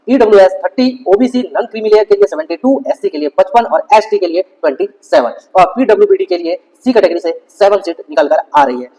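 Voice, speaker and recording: A man hosting an informational video, explaining a notice step by step in an instructional manner. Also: high voice. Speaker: YouTube host explaining government job vacancies. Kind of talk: informational video narration, walking through a notice on screen. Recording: echoey hall-like reverb.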